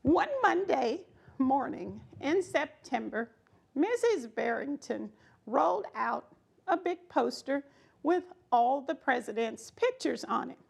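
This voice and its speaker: A woman's voice: high-pitched, expressive, slow pace, gravelly, breathy edge. A middle-aged woman giving an informal read-aloud storytime with folksy, conversational asides. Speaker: storytime reader, schoolteacher.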